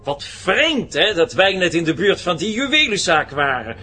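An actor playing a chatty, jolly Dutch tourist husband with an accent from the Netherlands, in an audio drama. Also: male voice, high-pitched, very animated, normal speed, loud music behind the voice. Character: jovial, chuckling Dutch tourist husband, eager host. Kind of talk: naturalistic audio drama acting.

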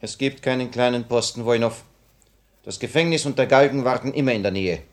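An actor playing the grave, resolute leader of a revolutionary cell in a 1950 radio play, speaking with measured authority. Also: male voice, mid-range voice, close to an everyday voice. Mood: somber. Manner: measured, authoritative theatrical delivery.

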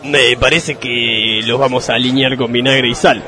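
A man acts out a cocky Argentine football hooligan boss for a radio comedy. He talks bluntly in a mid-pitched voice, sarcastic and bossy, always ready with a wisecrack. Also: fairly even intonation, moderate pace, over music, close to an everyday voice. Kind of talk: comic radio sketch acting.

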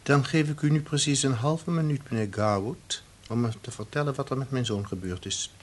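A man's voice: mid-range voice, brisk, close to an everyday voice. An actor playing an irritable, commanding middle-aged husband and father in a radio play. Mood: stern. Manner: stern, indignant, commanding. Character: irritable, authoritative husband and father, woken at night. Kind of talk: Dutch radio play acting. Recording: noisy old radio play recording.

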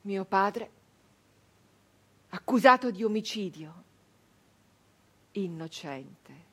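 An actress playing a grieving, traumatized 19th-century peasant woman in a theatrical radio monologue, halting and intense, with breathy speech that can sink toward a whisper. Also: mid-range voice, expressive, full character voice. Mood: mournful, subdued. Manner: halting, intense, repeating phrases obsessively.

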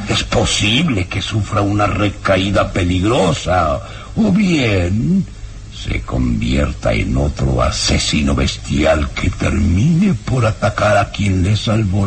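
Radio villain: scheming baron, low voice, slow imperious menace, big swooping intonation. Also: male voice, sing-song, vocal fry, background noise, exaggerated voice. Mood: cold, commanding. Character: sinister, commanding baron, cold scheming villain. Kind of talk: melodramatic radio drama acting.

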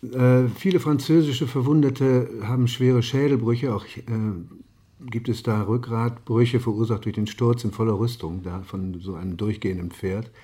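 An actor as a male medical expert with a lowish, mellow voice. He explains clinically and haltingly, feeling for words like a studio guest on the radio. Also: close to an everyday voice. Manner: hesitant, clinical, searching for words. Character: matter-of-fact male studio doctor explaining battle wounds. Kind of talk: acted expert interview in a radio play.

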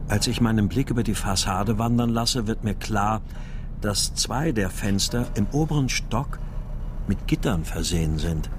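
A man's voice: fairly low pitch, background noise. An actor playing a grim, determined father searching for his child, narrating and speaking tersely in a crime radio play. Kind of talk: scripted first-person narration and dialogue in a crime audio drama. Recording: music behind the voice.

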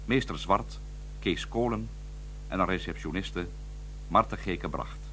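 Slow formal male announcer. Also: deep voice, a bit bright, slight background noise. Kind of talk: reading closing credits.

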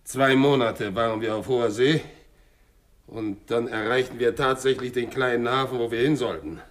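An actor playing a bitter, vengeful French sailor and former convict in a 1960s German radio crime drama, telling his story haltingly and with feeling. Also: mid-range voice, lightly acted. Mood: sombre recounting. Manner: halting, stammering, emotional storytelling.

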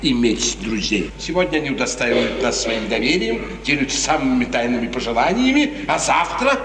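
An actor playing a scheming courtier in a 1950s radio drama, speaking in a formal, deferential, theatrical manner. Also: male voice, medium pitch, very animated, normal speed. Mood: reproachful. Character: smooth, scheming male courtier serving a prince. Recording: echoey, noisy, distant 1950s radio recording.

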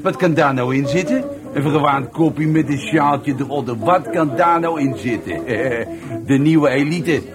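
A man's voice: on the high side, a little slow, natural voice. An actor playing a sneering, bullying party host in a radio drama, mocking and theatrical as he humiliates people. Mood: contemptuous. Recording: music playing behind.

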